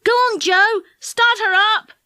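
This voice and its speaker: A woman voicing an excitable, pleading young boy in a children's storybook, calling out urgently. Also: extremely high pitch, measured pace, no echo, studio quality, voice pushed up, heavily acted. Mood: excited. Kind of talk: children's storybook character reading.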